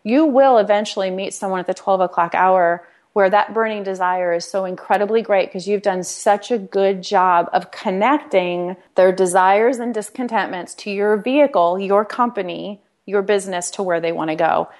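A middle-aged woman from Nebraska speaks as a podcast guest. She tells her story earnestly, with animated, conversational warmth. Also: medium pitch, normal speed, few pauses. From Nebraska, United States. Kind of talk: conversational interview.

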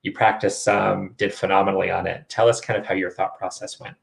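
A man's voice: sits low, flat delivery, brisk, creaky. A man hosting a conversational online interview, chatty and encouraging as he puts questions to his guest. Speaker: interviewer and host of a test-prep show.

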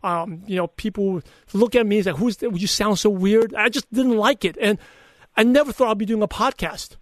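A man's voice: high-pitched, normal speed, no echo, clean studio sound. A middle-aged man with a mixed Brooklyn and Chinese accent and a lisp, speaking earnestly and emphatically in a conversational interview. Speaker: podcaster and coach, interview guest. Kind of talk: conversational interview answers with personal anecdotes.

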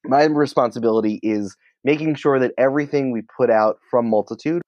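A man's voice: mid-range voice, a little mellow, dry recording. A man talking casually and at length as a podcast interview guest. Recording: dry, slightly dull sound.

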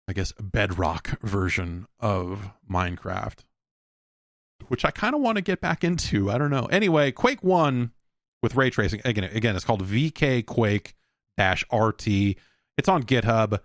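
A man's voice: fairly low pitch, dry recording, studio quality. Man rambling casually with wry asides. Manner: rambling, conversational, wry asides, acts out imagined exchanges. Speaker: podcast host. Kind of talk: casual solo video game podcast talk.

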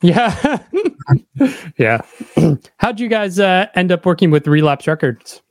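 High-pitched male podcast interviewer, dry sound. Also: lively intonation, slow, dry recording. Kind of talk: casual interview chat.

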